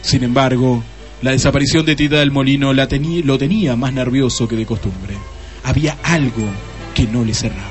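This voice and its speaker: A man's voice: mid-range voice, a little fast, faint music behind, close to an everyday voice. Man as a mock-solemn Argentine radio play narrator. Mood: confiding. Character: grave, melodramatic male radio play narrator. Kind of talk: parody radio drama narration.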